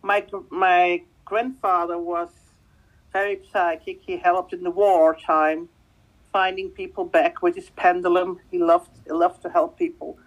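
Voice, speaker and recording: A woman in her fifties from Belgium, a native Dutch speaker with a Dutch-speaking Belgian accent, answering interview questions conversationally and haltingly, often repeating words. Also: lowish voice, little pitch movement, rough recording. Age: 50s. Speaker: guest, spiritual medium.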